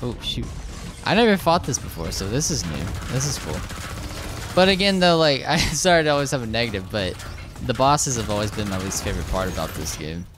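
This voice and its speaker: A young man, slightly hoarse, casually commenting over his gameplay, rambling with lots of fillers and restarts. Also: mid-range voice, sing-song, brisk, noisy background. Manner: rambling, hesitant, frequent filler words and restarts. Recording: game gunfire loud behind voice. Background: shooter game sounds and gunfire.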